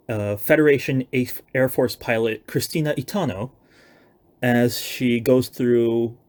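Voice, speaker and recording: A man's voice: medium pitch, darkish tone. A young man giving a casual, loosely planned announcement of his own writing projects, with self-deprecating, hedging asides. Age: young adult.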